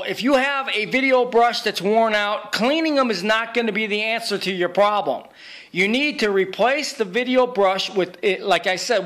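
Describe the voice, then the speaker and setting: Guy w a pretty high voice doing a repair tutorial, emphatic, kinda bragging. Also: a bit bright. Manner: boastful, emphatic. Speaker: video repair technician. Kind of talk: informal how-to tutorial narration.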